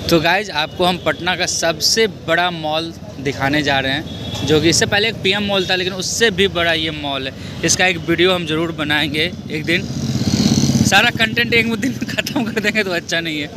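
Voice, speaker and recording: A man's voice: on the high side, moderate pace. A man, casually narrating a vlog into a handheld phone out on busy streets. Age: 20s. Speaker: vlogger. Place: outdoors on busy city streets, often riding on a motorbike. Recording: loud traffic and street noise.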